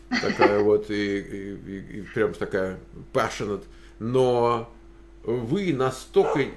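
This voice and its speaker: A man hosts an interview over a video call, his voice of middle pitch. He asks his questions hesitantly, with many filler sounds and restarts. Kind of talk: casual interview.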